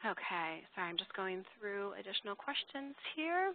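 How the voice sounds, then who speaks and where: A woman's voice: mid-range voice, big pitch swings, normal speed, a little hiss or hum. A woman presenting a webinar in an informal, explanatory way, thinking aloud as she takes questions. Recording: tinny, dry phone-line audio.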